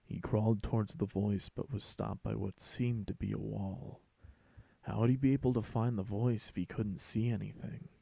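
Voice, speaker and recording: A man's voice: fairly low pitch, steady tone, normal speed, gravelly, warm tone, breathy edge, no room sound. A man reading a scripted fan fiction story as host and narrator.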